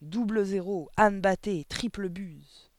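Un homme qui lit un livre pour enfants en incarnant une directrice colossale, tyrannique et méprisante, au ton aboyé et sarcastique. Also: male voice, on the high side, brisk, a little mellow, no room sound, close to an everyday voice. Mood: furious. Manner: barking, thundering, sarcastic. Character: huge tyrannical headmistress, scornful, bullying, barking. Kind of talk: storybook reading.

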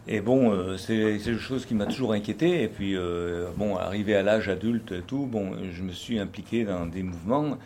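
A man's voice: lowish voice, normal speed, hardly any pauses, darkish tone, slight background noise. An older man from France, speaking unscripted and hesitantly, with many fillers and restarts. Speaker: activist speaker. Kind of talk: unscripted spoken reflection. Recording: faint background ambience.

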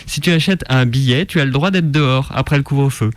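A man's voice: mid-range voice, fast, dry recording. A man on a radio show delivering a satirical scripted monologue in a colloquial, ironic, mock-naive way.

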